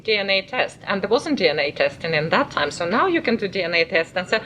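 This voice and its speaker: Quick, animated woman co-host. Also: medium pitch, slightly rough. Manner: quick, challenging, lively questions. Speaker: podcast co-host. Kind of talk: casual discussion.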